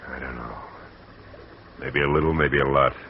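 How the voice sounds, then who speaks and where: An actor playing a tough private detective, clipped and wry, narrating in old radio-drama style. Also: male voice, a bass voice, steady tone, normal speed. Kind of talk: 1940s radio acting. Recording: dull old radio broadcast with faint hiss.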